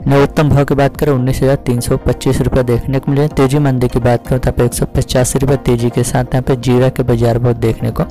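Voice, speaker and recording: A man's voice: medium pitch, warm tone, rough recording. A man hosting a market update, reading out prices as scripted narration in a formulaic, repetitive recital. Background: music.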